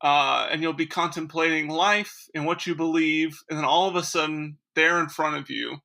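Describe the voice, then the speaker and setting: A man's voice: on the high side, fairly even intonation, moderate pace, gravelly, pushed and bright. A man hosting a podcast in casual comedic chat, with a dry, mock-earnest manner. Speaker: podcast host.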